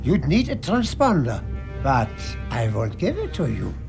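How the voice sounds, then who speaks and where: An actor playing a weary, idealistic and defiant inventor in a video game cutscene, with a measured, wistful manner. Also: male voice, mid-range voice, wildly swooping pitch, vocal fry, music in the background, full character voice. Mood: calm defiance.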